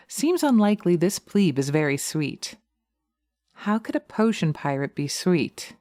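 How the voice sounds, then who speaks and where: A woman's voice: fairly low pitch, very animated, takes it slowly, long pauses, a little mellow, no room sound. A woman voicing a scornful, domineering witch who taunts and commands in a hypnosis roleplay audio. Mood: mocking.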